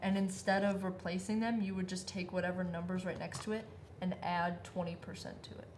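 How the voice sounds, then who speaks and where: Woman calmly explaining rules, pretty flat delivery, steady and patient. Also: lowish voice, a little fast, slight background noise. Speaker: co-host explaining game rules.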